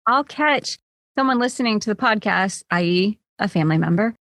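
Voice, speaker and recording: A woman co-hosting a podcast in casual chat, bubbly and lively. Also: moderate pace, no echo. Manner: bubbly, lively, jumps up in pitch. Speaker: podcast co-host.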